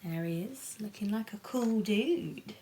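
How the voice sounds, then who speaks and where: Expressive woman reading a children's storybook, unhurried. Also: medium pitch, slight background noise. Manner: playful, chatty, asking the audience questions. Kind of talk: animated picture-book reading with chatty asides to young viewers.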